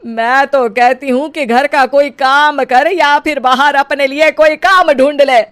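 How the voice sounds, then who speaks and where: A woman's voice: sits high, steady tone, measured pace, a bit bright, voice pushed up. A woman voicing a doting, boastful middle-aged mother-in-law in a comic story, chatty and coaxing. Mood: bossy.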